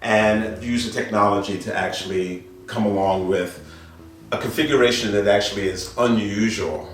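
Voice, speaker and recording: A man giving an informal product presentation as a dealer, speaking in a deliberate, halting way with repeated phrases. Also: on the low side, slight background noise. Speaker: audio dealer presenting loudspeakers. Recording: roomy, distant mic, faint music behind.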